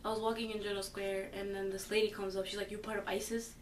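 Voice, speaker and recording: A woman's voice: mid-range voice, flat delivery, quick pace, roomy sound, far from the mic, a little hiss or hum. A fifteen-year-old girl answering interview questions casually, hesitant and filler-heavy but growing emphatic. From born in the United States. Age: teens. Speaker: high school student interviewee.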